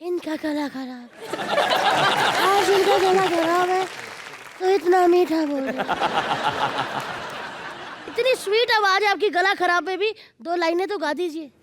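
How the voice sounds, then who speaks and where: A woman hosting a comedy show, teasing guests and the audience with quick playful jokes. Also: very high-pitched, a loud crowd. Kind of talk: teasing stand-up banter with audience. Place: TV studio stage with live audience. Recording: loud studio audience and noise.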